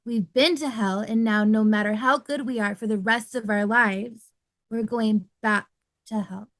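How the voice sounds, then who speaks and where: A woman's voice: on the high side, steady tone, measured pace, dry recording, clean studio sound, natural voice. A woman reading the part of an anxious, incredulous man demanding explanations at a table read. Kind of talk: amateur script table read.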